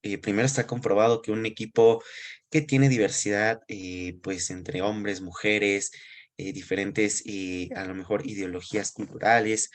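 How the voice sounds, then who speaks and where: A man interviewing a guest in a conversational way, phrasing his points haltingly. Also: lowish voice, flat delivery.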